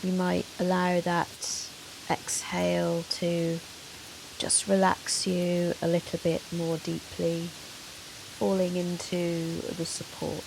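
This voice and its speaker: A woman yoga teacher leading a guided meditation in a soothing, measured, gentle manner with long pauses. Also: lowish voice, monotone, gravelly. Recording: soft hiss of rain noise. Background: soft rain sound.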